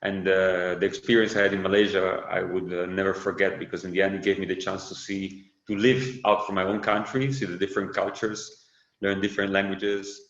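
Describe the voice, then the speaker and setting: Low, flat-voiced Italian man. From Italy. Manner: relaxed, unhurried, lots of uh fillers and self-corrections. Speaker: guest, windsurf brand founder. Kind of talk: casual podcast interview. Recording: roomy, slightly dull sound.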